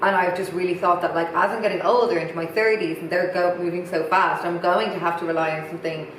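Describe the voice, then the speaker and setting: A woman's voice: lowish voice, fairly even intonation, fast talker, distant mic, slight background noise. A woman in her thirties co-hosting a podcast, chatting frankly in a rambling way with lots of fillers. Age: 30s. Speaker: podcast co-host. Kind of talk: casual chat. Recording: very echoey room.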